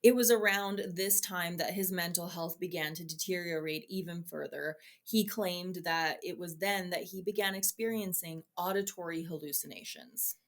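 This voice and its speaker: A woman's voice: fairly low pitch, moderate pace, hardly any pauses. A woman co-hosting a true-crime podcast, speaking informally with strong opinions and jokey asides. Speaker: podcast co-host.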